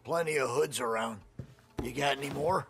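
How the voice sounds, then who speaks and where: Gravelly underworld informant. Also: male voice, mid-range voice, moderate pace, a bit bright. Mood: matter-of-fact. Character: shifty, obliging male underworld informant. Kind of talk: dramatic video game cutscene acting.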